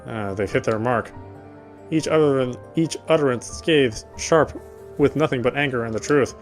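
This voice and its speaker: A man's voice: mid-range voice, normal speed, faint music behind. A man narrating a horror visual novel in the first person, reading steadily and often restarting his words.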